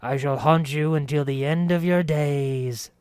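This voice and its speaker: Prankster's squeaky ghost voice with a silly accent, as a man puts it on. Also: unhurried, a little mellow, clear, resonant tone, no room sound, lightly acted. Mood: mock-haunting. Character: man faking a ghostly dead voice, mock-haunting.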